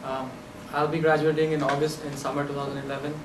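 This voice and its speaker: A man's voice: fairly high pitch, level tone, quick pace. A man from India, a graduate student, introducing himself on a panel, easygoing with uhs. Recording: echoey classroom, distant mic, noisy.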